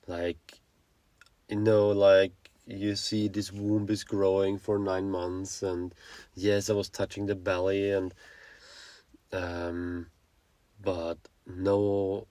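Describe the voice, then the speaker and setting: A man's voice: deep voice, flat delivery, slow pace, warm tone, no room sound. A man in his forties with an Austrian accent, a native German speaker, co-hosting a casual podcast in a frank, informal way, often searching for words. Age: 40s. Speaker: podcast co-host.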